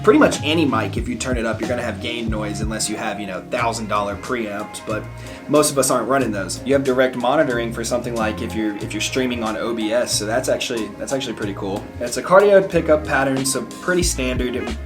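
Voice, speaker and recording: A young man, a musician, casually reviewing a microphone in an upbeat, chatty way and explaining the tech terms plainly. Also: mid-range voice. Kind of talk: casual product review vlog. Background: background music.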